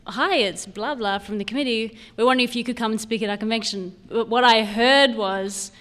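A woman's voice: fairly high pitch, a little fast, fairly bright tone. A woman in her thirties with an Australian accent, slightly mixed with Canadian, gives an informal personal talk to an audience in a candid, lightly humorous, conversational way. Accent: Australian with a hint of Canadian. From Sydney, Australia. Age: 30s. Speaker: guest speaker at a recovery convention.

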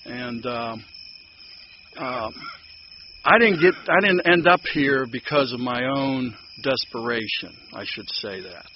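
An older man with a Pittsburgh accent sharing his story at a recovery meeting, rambling and halting with many fillers and restarts. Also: mid-range voice. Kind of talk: personal sharing at a support meeting. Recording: dull lo-fi recording with background noise.

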